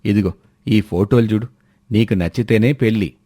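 A man's voice: on the low side, normal speed, mellow, dry recording, clean studio sound, lightly acted. A man voicing a weary, wry older village father in a cartoon moral story. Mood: matter-of-fact.

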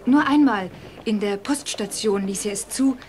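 An actress in a German audio drama playing a loyal young woman who speaks earnestly and gently. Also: medium pitch, moderate pace, slight background noise, natural voice.

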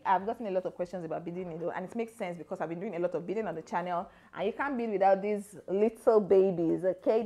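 A woman's voice: fairly low pitch, darkish tone. A young Nigerian woman with a Nigerian accent, giving a casual crafting tutorial to camera, chatty and checking in with "okay?". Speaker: crafting YouTuber. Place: indoor room with armchairs, seated.

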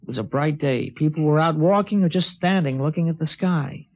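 A man's voice: fairly high pitch, a little fast, natural voice. An actor playing a thoughtful, earnest teenage boy who narrates a 1950s radio drama, from a dull old recording. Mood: somber. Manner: earnest, reflective, somber.

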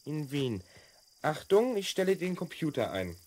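Lively, self-assured boy time traveler. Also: on the high side, a little slow, slight background noise. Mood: calm, focused. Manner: explanatory, instructing, assured. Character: confident twelve-year-old boy time traveler from the future. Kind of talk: 1970s German children's audio drama acting.

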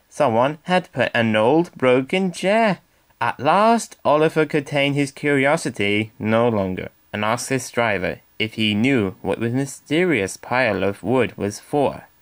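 A man reads a children's storybook aloud. His delivery is animated, with a very varied pitch, and he takes his time over each phrase. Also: unhurried. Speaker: storybook narrator. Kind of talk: expressive scripted children's story narration.

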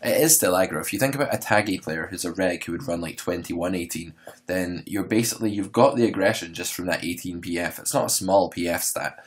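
Hoarse low-voiced man explaining poker. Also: brisk. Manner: relaxed, rambling, filler-heavy explaining. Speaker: poker coach and podcast host. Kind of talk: solo instructional podcast.

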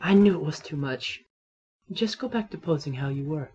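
A man's voice: on the high side, lively intonation, normal speed, dull audio, very noisy, lightly acted. A man voicing a vain, lecherous artist, smarmy and awkward, in a comedic anime parody dub. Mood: scheming.

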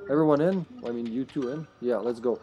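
Brisk man calling shots over headset. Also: mid-range voice, dull audio, soft background music. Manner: brisk, directing teammates, swears. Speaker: streamer. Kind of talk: casual gaming chat. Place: home room.

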